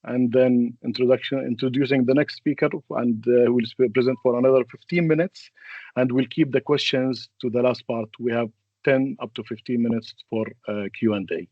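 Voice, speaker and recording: A man, a native Arabic speaker, moderating a webinar and introducing speakers in a halting, hesitant way. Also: mid-range voice, fairly even intonation, no room sound, muffled recording.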